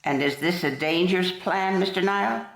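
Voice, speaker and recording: A woman playing a stern, vengeful aunt in a radio drama, pointed and deliberate, in a roomy echoing space. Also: fairly low pitch, creaky. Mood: cold, intent. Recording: roomy, echoing studio sound.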